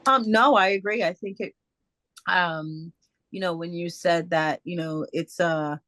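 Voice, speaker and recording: A woman speaker answering audience questions in a casual, halting way, with many fillers and restarts over a video call. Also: lowish voice, a bit bright, no echo. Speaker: guest speaker.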